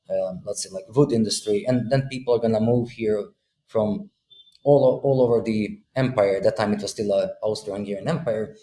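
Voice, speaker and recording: A man's voice: lowish voice, mellow. A man in his thirties from Bosnia, a podcast guest on a video call, explaining ideas with many fillers and restarts. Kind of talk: thoughtful conversational explanation. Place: room with a window. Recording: roomy video call audio with faint hum.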